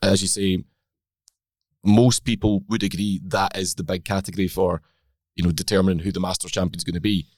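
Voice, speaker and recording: A man with a slightly croaky voice, co-hosting a golf chat and reeling off stats in a measured way. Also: low-pitched, little pitch movement, a little mellow, dry recording. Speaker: podcast co-host.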